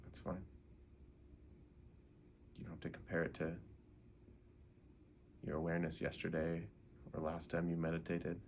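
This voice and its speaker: A man leading a guided meditation in an unhurried way, gently asking questions and leaving pauses. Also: low voice, monotone, slight background noise.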